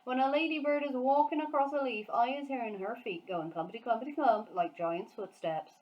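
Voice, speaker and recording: A woman's voice: a little high, brisk, lo-fi sound, pitched above the performer's own voice, natural voice. A woman voicing a big, friendly male giant from a children's storybook, earnest and emphatic, full of childlike wonder, speaking in quaint muddled grammar. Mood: boastful wonder. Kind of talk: acted children's storybook dialogue.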